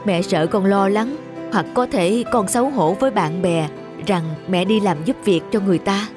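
A gentle, tired widowed mother from an animated drama voiced by a woman. She speaks softly and reassuringly, a little hesitant, as someone who carries her burdens quietly. Music plays behind her. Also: mid-range voice, normal speed, close to an everyday voice. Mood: sad, tender.

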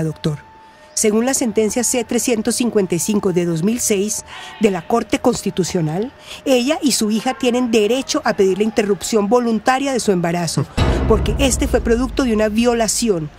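A woman's voice: mid-range voice, normal speed, darkish tone, faint music behind, close to an everyday voice. A woman playing an assertive, well-informed neighbour in a radio drama, emphatic and insistent, with a Colombian accent. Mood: indignant. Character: assertive, well-informed female neighbour championing women's rights.

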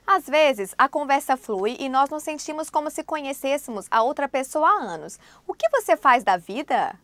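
A woman with a high, lively voice narrates a language lesson from a script. She prompts each phrase clearly and at an even pace. The studio sound is dry and close. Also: moderate pace, dry recording. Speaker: language course presenter.

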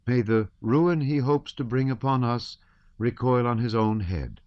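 A man voicing an earnest young woman who reads aloud carefully in a high-pitched voice. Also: measured pace, no room sound, lo-fi sound, natural voice. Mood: solemn reading.

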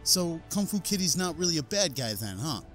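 A man's voice: fairly high pitch, big pitch swings, a little fast, fairly bright tone. An actor playing an eager, wry rookie policeman in an audio drama, questioning and quippy, with music behind him. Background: background music.

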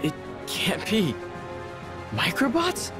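A man's voice: high-pitched, wildly swooping pitch, slow, exaggerated voice. A man playing an earnest teenage boy robotics prodigy and hero in a video game cutscene, hesitant when thinking. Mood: puzzled, earnest. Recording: music behind the voice.

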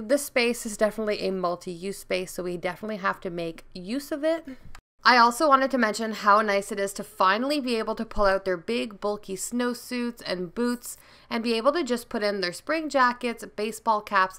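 A woman's voice: mid-range voice, bright, forceful tone, clean tone. A woman in her thirties giving a chatty, upbeat voice-over for a home cleaning vlog. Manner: chatty, upbeat, explains each step. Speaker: vlogger.

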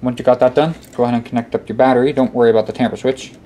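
A man's voice: medium pitch, steady tone, very fast, warm tone. A man presenting a casual step-by-step tutorial, often repeating himself as he instructs. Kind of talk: casual hands-on how-to instruction. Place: indoor workbench. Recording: clearly noisy amateur room recording. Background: clear background noise.

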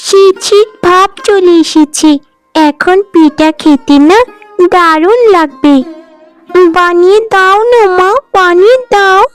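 A woman voicing a playful, whiny little village girl in a cartoon, childish and excitable in manner. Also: very high voice, slow pace, soft background music. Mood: pleading. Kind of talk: Bengali cartoon voice acting.

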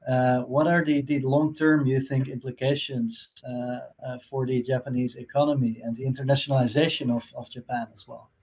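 A man's voice: medium pitch, little pitch movement, fairly quick, few pauses, darkish tone, breathy edge, poor audio. Male moderator posing questions. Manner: hesitant questions with fillers. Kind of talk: webinar moderation. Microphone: video call.

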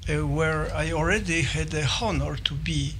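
An older man from Poland with a Polish accent, giving a formal presentation and often pausing with fillers and restarts. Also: fairly high pitch, fairly even intonation, a bit bright, a little hiss or hum. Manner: halting, with many fillers and restarts. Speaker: visiting scholar presenting. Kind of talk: formal presentation to a small audience. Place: office meeting room.

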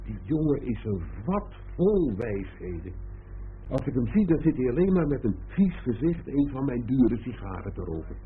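A man's voice: medium pitch, very animated, normal speed, natural voice. Wry middle-aged father, thin old radio sound, played by a man. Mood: sardonic. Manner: dry, ironic, hesitant asides. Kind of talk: radio drama acting. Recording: muffled narrowband old radio recording, faint noise.

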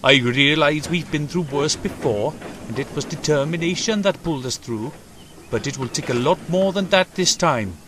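A man's voice: a little high, lively intonation, normal speed, fairly bright tone, slight background noise, pitched above the performer's own voice. A man voicing a proud, assuring railway controller in a children's storybook, making formal, authoritative speeches. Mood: grave.

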